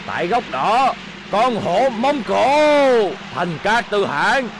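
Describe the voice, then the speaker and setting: A man voicing an excitable ring announcer in a dubbed cartoon, with a loud, drawn-out showman's delivery. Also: sits high, noisy background, heavily acted. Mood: excited. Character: excitable male robot-wrestling ring announcer.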